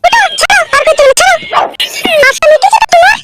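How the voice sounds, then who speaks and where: A woman's voice: extremely high pitch, animated, quick pace. A woman playing a fretful young village woman in a comedy cartoon, insistent and complaining, with music behind. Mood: complaining, anxious.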